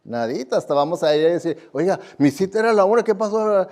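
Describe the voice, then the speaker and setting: A man's voice: high-pitched, expressive, fast talker, a little mellow. A man preaching as a pastor to his congregation in a conversational way, admonishing them and often putting questions to them. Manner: admonishing, often asks listeners questions and tags for agreement. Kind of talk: conversational sermon with rhetorical questions. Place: speaking live before a church congregation.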